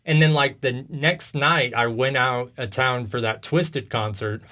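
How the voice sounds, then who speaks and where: A man's voice: medium pitch, fairly even intonation, measured pace, sharp tone. A man hosting a video game podcast, chatting casually in a rambling, slangy storytelling way. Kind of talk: casual chat about video games.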